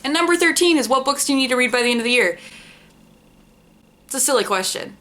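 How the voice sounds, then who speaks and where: A woman's voice: sits high, lively intonation, fast talker, bright, forceful tone. A young adult woman chatting casually as a book vlogger, rambling and self-deprecating, with informal language and swearing. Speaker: book vlogger, channel host. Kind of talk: casual book review chat to camera.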